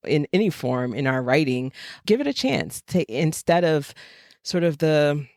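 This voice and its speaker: A woman with an American accent talking casually and emphatically as a podcast co-host, with animated delivery. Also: sits low, unhurried, no room sound, clean studio sound. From United States, Jacksonville, Florida.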